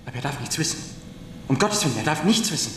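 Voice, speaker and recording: A man's voice: fairly high pitch, big pitch swings, fast, a bit bright. A man playing a timid, frightened schoolboy in a radio play, hesitant, defensive and pleading. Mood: fearful, pleading. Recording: very echoey, distant mic, faint noise.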